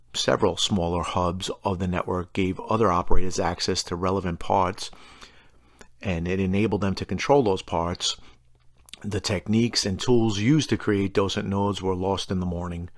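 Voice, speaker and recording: A man with a very low voice and plenty of gravelly fry presents fantasy game lore. He explains it from a script and slips in informal, wry asides. The sound is close and dry, with very little room. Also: no echo. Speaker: tabletop gaming lore presenter. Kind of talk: scripted narration with casual asides.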